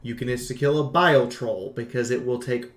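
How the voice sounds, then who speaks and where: Male game reviewer, mid-pitched, rambling and casual with animated slangy asides, recorded in an echoey room. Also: fairly quick, a little hiss or hum. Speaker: video game reviewer and streamer. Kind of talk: casual unscripted game commentary.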